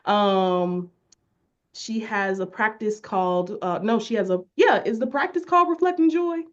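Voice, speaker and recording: A woman's voice: mid-range voice, a little slow, lo-fi sound. A woman hosting a casual interview on a video call, rambling and self-correcting with plenty of fillers. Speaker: podcast host and business owner.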